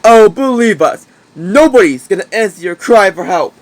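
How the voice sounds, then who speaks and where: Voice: mid-range voice, expressive, normal speed, no echo, voice pushed down, natural voice, poor audio. A performer voicing a smug kidnapper villain who taunts the heroes in a homemade puppet drama. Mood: gloating. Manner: gloating, taunting.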